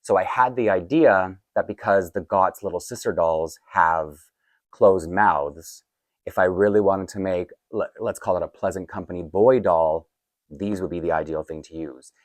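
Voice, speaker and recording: A man's voice: deep voice, moderate pace, no room sound, studio quality. A man with a groggy voice chatting casually through an unboxing as a collector, rambling and breaking into his own sentences with asides. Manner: chatty, self-interrupting asides, chuckling. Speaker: doll collector and unboxing vlogger.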